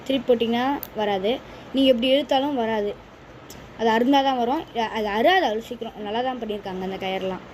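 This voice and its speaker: A woman's voice: fairly high pitch, fast, a little mellow, slight background noise, low-quality recording. A woman chattily explaining a product step by step to viewers in a casual vlog. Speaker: vlogger presenting a product unboxing.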